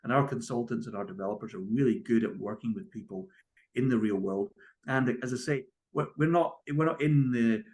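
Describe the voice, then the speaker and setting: A man's voice: mid-range voice, brisk, a little mellow. A man with a Scottish accent answering interview questions as a company founder, conversational and emphatic, leaning on key phrases. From Scotland. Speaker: guest, tech company founder and CEO.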